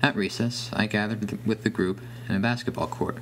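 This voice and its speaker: A man's voice: lowish voice, fairly even intonation, brisk, a little hiss or hum. A man reading a scripted first-person horror story as its narrator.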